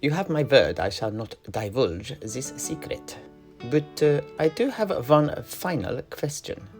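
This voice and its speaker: A man's voice: mid-range voice, expressive, measured pace, a little mellow. A man playing an earnest, courteous fantasy character in roleplay, speaking formally and with some hesitation. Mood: earnest, curious. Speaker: listener questioning the storyteller. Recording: music behind the voice.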